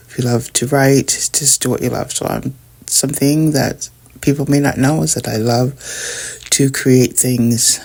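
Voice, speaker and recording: A woman's voice: a bass voice, gravelly, warm tone. A middle-aged woman, chatting casually to her viewers while tidying up at home. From Canada. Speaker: vlogger. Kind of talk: casual chat while decluttering.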